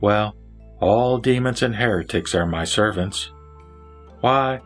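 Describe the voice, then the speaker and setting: A man's voice: lowish voice, takes it slowly, faint music behind. A man playing a wise, ailing lay sage who teaches in a solemn, formal way in a scripture audio drama. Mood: solemn, instructive.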